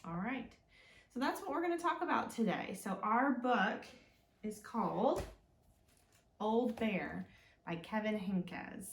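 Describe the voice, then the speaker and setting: Slow-paced woman leading children's storytime. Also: medium pitch. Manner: sing-song, prompting with simple questions and encouragement. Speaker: children's librarian hosting storytime. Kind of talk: read-aloud and teaching for young children. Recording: echoey room, mic at a distance.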